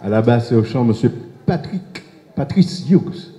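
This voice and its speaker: A man's voice: medium pitch, expressive, measured pace, dark tone, slight background noise. A man, the band's pianist, formally introducing the musicians in brief announcements. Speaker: band pianist. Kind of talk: introducing band members. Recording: dull reverberant hall with faint crowd.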